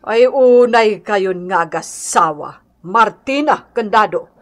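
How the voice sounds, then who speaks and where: A woman's voice: mid-range voice, expressive, slow. An actress playing a stern, authoritative female village captain in a Filipino radio drama. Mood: scolding. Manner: authoritative, admonishing.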